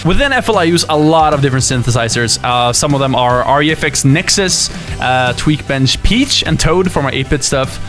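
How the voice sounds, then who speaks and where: A man answering viewer questions in a casual chat, lively and often laughing, with many fillers. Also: medium pitch, moderate pace, soft background music. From Sweden. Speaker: YouTuber and musician.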